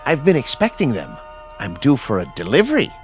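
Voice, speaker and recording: A man's voice: mid-range voice, huge pitch swings, brisk, faint music behind. A man acting in a radio drama as a genteel, fussy, unflappable caretaker with courteous, old-fashioned manners. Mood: mildly curious.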